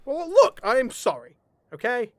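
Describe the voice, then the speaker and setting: Male actor as flippant fantasy adventurer, very lively pitch, glib chatty delivery, dry close recording. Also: normal speed, no echo, full character voice. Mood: apologetic. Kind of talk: audio comedy fantasy drama.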